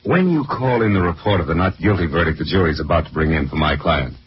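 An actor playing a smug, smooth-talking crooked defense lawyer, condescending and sardonic, in a 1940s radio drama. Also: male voice, sits low, lively intonation, fast. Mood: contemptuous. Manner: condescending, sardonic, drawn to mockery. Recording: muffled, noisy 1940s radio recording.

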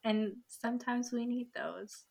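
A woman hosting a casual podcast interview, chatty and bubbly, full of fillers as she fires off quick questions. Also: a little high, gravelly, a little mellow. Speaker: podcast host.

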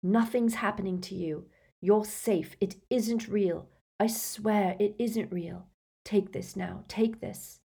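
Lady reader doing a worried teen boy, higher voice, earnest, strained, kinda urgent. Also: slow pace, darkish tone, slightly rough. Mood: urgent reassurance. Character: anxious, earnest teenage boy wizard, desperate and loyal.

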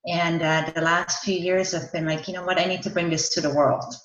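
A woman's voice: lowish voice, fairly even intonation, fairly quick. A woman from Austria, a parenting coach, explaining her work earnestly as a podcast guest. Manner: earnest, explanatory, laughs between points. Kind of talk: interview answers. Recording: roomy, dull lo-fi sound.

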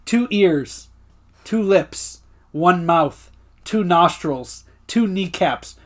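A man's voice: sits high, lively intonation, drawn-out words, fairly bright tone. A man co-hosting a podcast, recapping episodes in a loose, profane way and laughing often. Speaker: podcast co-host. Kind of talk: casual recap chat.

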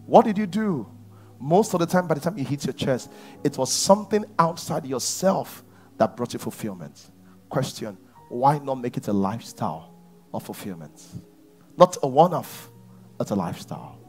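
A man preaching a sermon to his church, at times rising to shouts. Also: mid-range voice, big pitch swings, normal speed, faint music behind. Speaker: pastor.

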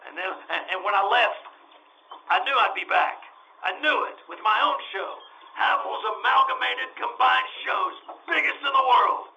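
A desperate old-time radio dreamer, a washed-up circus promoter acted out by a man, pitched high and gravelly. He stammers and halts, then hammers his words in a piercingly bright voice. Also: wildly swooping pitch, exaggerated voice. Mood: wistful, fervent. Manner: stammering, halting, emphatic. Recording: dull lo-fi audio.

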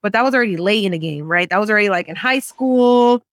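A woman's voice: mid-range voice, fast, dry recording, studio quality. A young adult woman from San Francisco, a podcast guest, telling her story in an earnest, conversational way. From San Francisco, United States. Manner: earnest, storytelling, animated when quoting.

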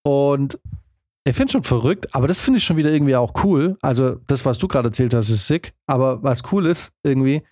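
A man's voice: medium pitch, animated, a little fast, darkish tone, dry recording, studio quality. A man chatting informally as one of the hosts of a podcast. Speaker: podcast co-host. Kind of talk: casual chat.

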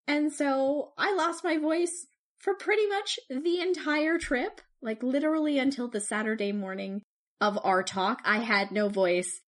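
A woman co-hosting a podcast, telling stories in an animated, chatty way. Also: high-pitched, measured pace. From Canada. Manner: chatty, animated storytelling with laughs. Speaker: podcast co-host. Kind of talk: casual chat.

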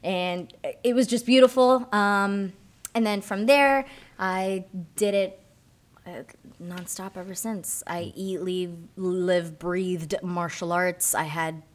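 A young woman from Miami, a martial artist guest in a casual interview, telling her story with animated, acted-out quotes. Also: fairly low pitch, slow pace. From Miami, Florida.

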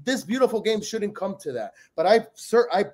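A man from Peru in blunt, animated casual sports chat, cursing freely as a podcast panelist. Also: high-pitched, moderate pace.

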